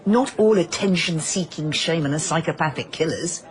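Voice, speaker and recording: An actress playing a sardonic, teasing middle-aged British wife, exasperated and tart, in radio drama dialogue. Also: female voice, low voice, moderate pace, darkish tone, close to an everyday voice. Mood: sceptical, teasing.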